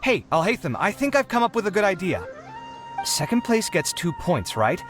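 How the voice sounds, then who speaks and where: A man's voice: sits high, very animated, moderate pace, music in the background. A man voicing a dramatic, earnest young idealist in a video game, theatrical and given to pleading. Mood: wheedling. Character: dramatic young male idealist, wheedling yet principled.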